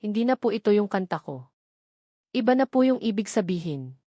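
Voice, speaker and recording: A man's voice: high voice, sing-song, a little fast, warm tone, no room sound, studio quality. A woman narrator playing a humble young male singer who speaks politely and deferentially in an audio love story. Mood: hurt, hesitant.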